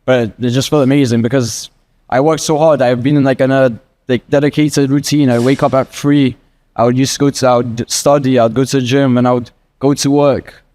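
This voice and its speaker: A young man in his early twenties answers questions with earnest, rambling sincerity, leaning on filler words as he thinks aloud. His voice sits at an ordinary pitch with a mellow, warm tone. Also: fairly even intonation, a little fast. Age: 20s. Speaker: guest trader. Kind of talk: casual interview answers.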